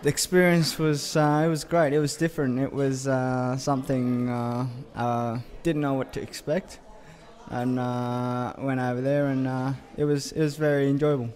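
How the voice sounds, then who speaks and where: A young man from Sudan speaks in a mid-range voice, answering interview questions. He pauses often and restarts his sentences, hunting for words as he goes. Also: mid-range voice, level tone, a little mellow. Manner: hesitant, many uh fillers and restarts. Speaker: footballer interviewee.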